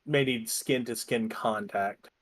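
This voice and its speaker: A man's voice: mid-range voice, slow, no room sound. Male role-play player, mid register, offhand conversational improvisation, no room sound.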